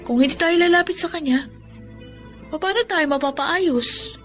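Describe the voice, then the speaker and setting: A Filipino woman playing a devout, frank young friend who gently admonishes, in radio drama style. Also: extremely high pitch, normal speed, faint music behind. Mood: earnest admonishing.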